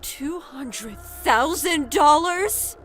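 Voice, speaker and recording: A woman playing a gentle, sickly, worried mother of adult sons in a dramatized story. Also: sits high, lively intonation, normal speed, soft background music. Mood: concerned.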